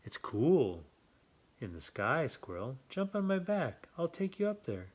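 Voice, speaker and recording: A man plays a tricky buzzard from a children's storybook. The bird is smooth-talking and coaxing, a sweetly persuasive schemer with a pushy streak. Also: fairly high pitch, big pitch swings, measured pace, voice pushed up. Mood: coaxing, taunting. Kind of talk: children's storybook read-aloud.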